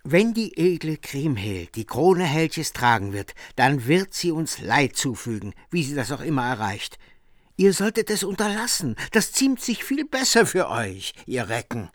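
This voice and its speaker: A man playing a stern, distrustful noble warrior, arguing forcefully in a radio play. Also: a little high, big pitch swings, unhurried, dry recording, clean studio sound. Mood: insistent warning. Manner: forceful, argumentative. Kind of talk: German radio play acting.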